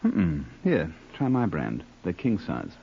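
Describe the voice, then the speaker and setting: An American actor playing a courteous, music-loving hired killer in an old radio crime drama, cool, mocking and dryly polite. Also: male voice, sits low, huge pitch swings, vocal fry, full character voice. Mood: calm, menacing. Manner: unhurried, dryly polite, philosophizing. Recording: muffled, noisy old radio recording.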